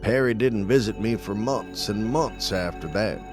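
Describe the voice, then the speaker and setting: An actor playing a dead man who calmly narrates an audio drama with slow, measured, deliberate delivery. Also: fairly low pitch, music in the background, natural voice. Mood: measured, somber. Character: dead man narrating, calm and all-seeing.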